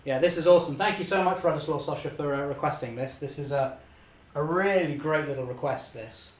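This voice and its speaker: Chatty young man, slightly hoarse. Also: on the high side, fairly quick, echoey room. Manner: chatty, self-correcting, cheerful. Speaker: model railway channel host. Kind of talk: live-stream running commentary.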